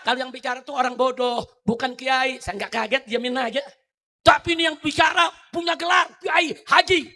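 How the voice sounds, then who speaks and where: Man, middle-aged, preacher, very high pitch, bright cutting tone, shouts then drops low, rhetorical questions. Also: fairly even intonation, moderate pace. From Indonesia. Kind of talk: fiery religious sermon.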